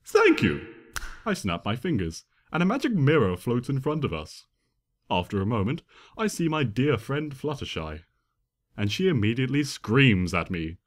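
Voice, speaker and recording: A man reading fan fiction as an expressive, theatrical first-person narration. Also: medium pitch, moderate pace. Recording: long hall reverb.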